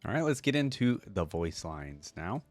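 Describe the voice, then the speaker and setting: A man's voice: low voice, sing-song, moderate pace, no room sound, soft background music. A man hosting a reaction video, giving casual, conversational commentary with brief interjections.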